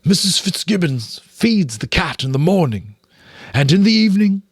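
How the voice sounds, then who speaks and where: A man voicing a grave, deliberate rat leader with an authoritative manner, in a storybook reading. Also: sits high, sing-song, husky, dry recording, studio quality, voice pushed up, exaggerated voice. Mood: grave, explaining. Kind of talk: dramatic audiobook reading of a children's novel.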